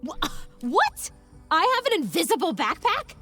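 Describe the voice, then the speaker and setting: A plucky young heroine in a comedy adventure game. The high voice swings widely, wry and easily flustered, with faint music behind. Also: female voice, moderate pace, heavily acted. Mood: bemused, wry. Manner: expressive, wry. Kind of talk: animated video game comedy acting.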